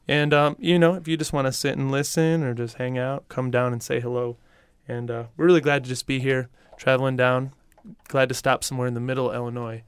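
A man with a smooth, clear voice talks as a guest on a radio show, friendly and relaxed, with plenty of fillers. The studio sound is dry. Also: mid-range voice, brisk, no echo. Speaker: touring musician, guest. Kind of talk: casual radio interview.

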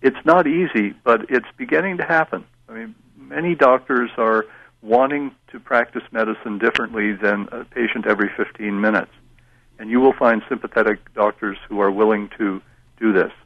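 A man speaking as an expert guest on a radio talk show, explaining in a measured way with some fillers. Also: fairly low pitch, no room sound, muffled recording.

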